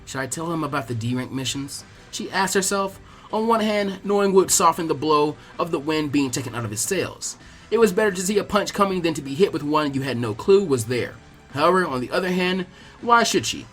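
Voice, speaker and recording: A man reading fan fiction as animated scripted narration, switching into character voices. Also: on the high side, brisk. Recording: music behind the reading.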